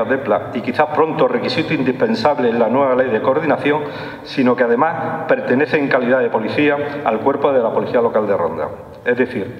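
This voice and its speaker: An older man, around his sixties, reads a formal address. His voice sits at a middling pitch. It rings through a large, echoing hall with long reverb. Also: muffled recording. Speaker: police official giving a speech. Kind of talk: formal speech read from a script. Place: large stone hall, indoors. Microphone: lectern microphone.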